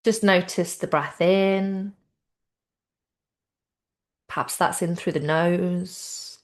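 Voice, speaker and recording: Slow-paced woman guiding relaxation. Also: on the low side. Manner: soothing, unhurried, informal. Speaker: yoga nidra teacher. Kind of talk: guided relaxation, gentle spoken instruction.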